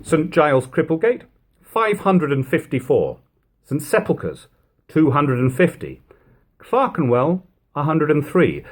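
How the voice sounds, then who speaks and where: A man reading an old literary text aloud as narration, in measured, emphatic phrasing. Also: fairly high pitch, sing-song. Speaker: reader of a classic book. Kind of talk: audiobook-style literary narration.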